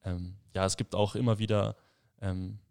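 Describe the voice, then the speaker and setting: A man's voice: fairly low pitch, moderate pace, creaky, dry recording, clean studio sound. A man of twenty-one hosting a podcast, asking questions in an easygoing way with lots of fillers. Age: 20s. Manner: relaxed, friendly questioning with many fillers. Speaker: podcast host, leader at a mission school. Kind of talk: casual podcast conversation.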